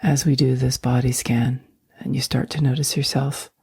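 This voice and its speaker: A woman guiding a sleep meditation in a soft, breathy near-whisper, speaking slowly and gently with long, soothing pauses. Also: rumbling low, little pitch movement, dry recording, clean studio sound. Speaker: meditation guide.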